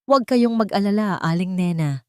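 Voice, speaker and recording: A woman's voice: medium pitch, expressive, normal speed, clear, resonant tone, no echo, studio quality, pitched above the performer's own voice, lightly acted. A woman voicing a determined male lawyer in an audio story, speaking firmly and reassuringly. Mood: resolute, reassuring.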